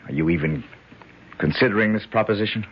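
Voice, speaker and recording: An actor playing a loyal, hard-nosed senator's aide in a 1970s radio drama, urgent and plain-spoken. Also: male voice, fairly low pitch, sing-song, fast, gravelly, slightly rough, full character voice. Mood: pressing. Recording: dull, band-limited vintage radio recording.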